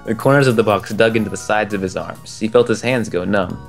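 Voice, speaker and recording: A young man with a lowish voice reads a children's storybook aloud, relaxed and chuckling at the text now and then. Soft music plays faintly behind him. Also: a little fast, creaky. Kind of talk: reading a children's book aloud.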